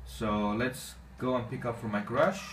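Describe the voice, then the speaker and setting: A man's voice: mid-range voice, fairly even intonation. Armenian man in a roomy, echoey space. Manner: halting, non-native English with many fillers. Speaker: gaming channel host. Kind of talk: casual gameplay commentary. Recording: echoey room, distant mic, faint noise.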